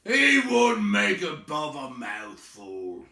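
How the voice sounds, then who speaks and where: A man's voice: fairly high pitch, wildly swooping pitch, gravelly, roomy sound, pitched above the performer's own voice, exaggerated voice. A man voicing a big, crude, grumpy troll who bellows in coarse rustic slang in a storybook reading. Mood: suspicious, scornful. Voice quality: forceful, bellowing, drawn-out. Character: big male troll William, crude, grumpy, suspicious bully.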